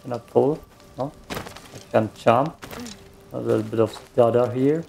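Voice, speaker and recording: A man's voice: mid-range voice, unhurried, vocal fry, dark tone, soft background music. A man in his thirties with a German accent, casually commenting on a game he is playing, muttering reactions to himself. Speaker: let's play gamer.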